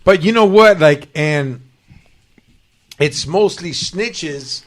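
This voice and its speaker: A man's voice: fairly high pitch, expressive, slow. A man hosting a podcast, chatting casually in loose, slangy, joking banter. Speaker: podcast host.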